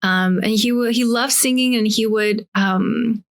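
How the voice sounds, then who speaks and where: A woman's voice: on the high side, unhurried, creaky. A young adult woman from Taiwan, a life coach and podcast guest, talking reflectively and thinking aloud with frequent fillers and restarts. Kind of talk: casual podcast conversation.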